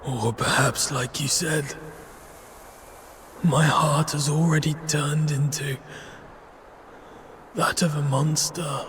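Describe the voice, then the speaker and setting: An actor playing a tormented, guarded young fantasy fighter in a video game, grave and halting, in short phrases. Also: male voice, fairly high pitch, steady tone, a bit bright, slight background noise. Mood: anguished, weary. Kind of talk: dramatic video game voice acting.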